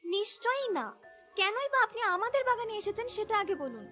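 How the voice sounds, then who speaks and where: A sharp-tongued young cartoon village wife, voiced by a woman, argumentative and suspicious. She fires off accusations quickly in a high, bright voice with music behind. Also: sing-song. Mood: accusing. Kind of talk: cartoon voice acting.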